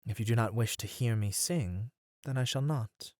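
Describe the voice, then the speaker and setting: A man playing a merman siren in an ASMR roleplay, speaking in courteous, formal phrases as a seemingly gentle creature with a predatory streak. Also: mid-range voice, dry recording, studio quality, lightly acted. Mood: shy, hopeful. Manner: soft, courteous, formal, halting speech. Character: male merman siren, feigning gentle innocence, secretly predatory.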